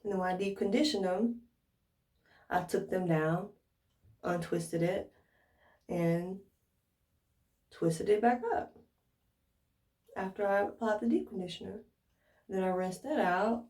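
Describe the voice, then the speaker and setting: A woman's voice: medium pitch, fairly even intonation, measured pace, long pauses, a little mellow. An American woman chatting casually to viewers in an informal tutorial, thinking aloud as she demonstrates. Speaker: hair care vlogger. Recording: roomy echo, distant from mic.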